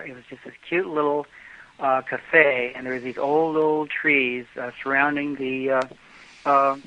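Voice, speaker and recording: An older American man telling travel stories in a casual interview, rambling with many fillers and restarts. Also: mid-range voice. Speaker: travel guest. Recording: dull lo-fi podcast audio.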